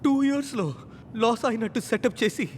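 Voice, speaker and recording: High-pitched, panicky crooked stockbroker. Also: male voice, fairly quick. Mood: panicked confession. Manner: pleading, evasive. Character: cornered crooked male stockbroker, evasive and panicky. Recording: noisy film soundtrack with vehicle rumble.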